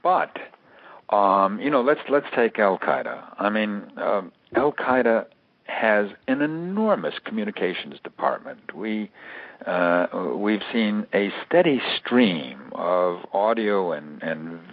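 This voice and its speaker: A dry, phone-like recording of a man with a fairly low voice. He explains things as an expert guest would, deliberately, pausing often and building his points in short phrases. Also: sing-song, dry recording. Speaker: interview guest, author and terrorism analyst. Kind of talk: measured expert explanation in an interview. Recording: thin, dry phone-line sound.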